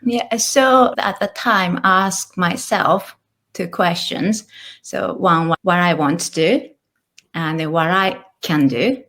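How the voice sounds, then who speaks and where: Japanese-accented woman, lowish voice. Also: slow pace, fairly bright tone. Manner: thoughtful, with small restarts and light laughs. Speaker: guest, author and illustrator. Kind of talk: casual interview conversation.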